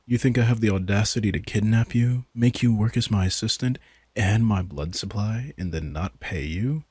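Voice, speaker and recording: A man playing a low, husky, smug vampire king. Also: moderate pace, a little mellow, dry recording, clean studio sound. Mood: amused, persuasive. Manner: laid-back, wry, teasing, informal swearing. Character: sardonic, casual young-sounding male vampire king, smug and manipulative. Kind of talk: intimate audio roleplay, addressing the listener.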